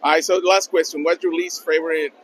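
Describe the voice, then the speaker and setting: A man with a Mexican accent asks fans quick questions in a busy convention hall, his voice sitting a bit high. He talks in a friendly way, often stumbling and restarting his sentences. A faint hum of the crowded hall stays behind him. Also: fairly even intonation. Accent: Mexican Spanish. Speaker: interviewer and channel host. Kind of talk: casual fan interviews at a convention.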